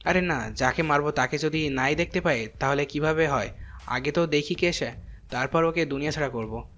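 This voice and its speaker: Higher-voiced male gangster played by an actor. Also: normal speed, a bit bright, close to an everyday voice. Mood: swaggering, menacing. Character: swaggering male gangster, loyal to his boss.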